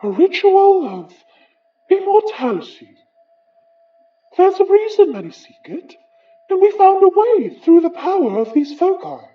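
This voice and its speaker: Bitter aristocratic British spectre, horror podcast. High register, very animated pitch, deliberate formal diction. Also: female voice, recorded from a distance, muffled recording, exaggerated voice. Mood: proud, intense. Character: haughty, bitter aristocratic ghost of an Edwardian occultist. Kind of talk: horror audio drama acting.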